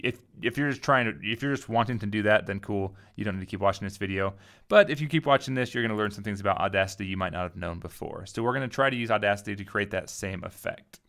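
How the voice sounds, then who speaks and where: A man giving a casual software tutorial, informally thinking aloud as he goes. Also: on the low side, vocal fry. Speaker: tutorial host.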